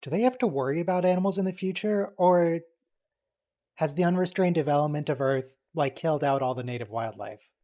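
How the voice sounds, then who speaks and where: A man's voice: a little high, fairly quick, mellow. A man hosting a casual watch-along stream, tossing joking asides at the screen. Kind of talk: casual chat over a movie.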